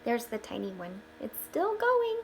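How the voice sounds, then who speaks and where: Slow, higher-voiced woman reading a storybook. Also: slight background noise, rough recording. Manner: expressive, with hushed asides pointing things out. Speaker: storyteller reading a picture book aloud to children. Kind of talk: children's picture-book read-aloud with explanatory asides.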